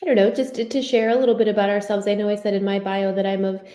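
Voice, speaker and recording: A woman's voice: medium pitch, little pitch movement, fast talker, warm tone. An American woman whose first language is Spanish, speaking informally as she leads an online panel discussion. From United States, Michigan. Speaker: panelist leading a community board discussion. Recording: roomy, echoing sound.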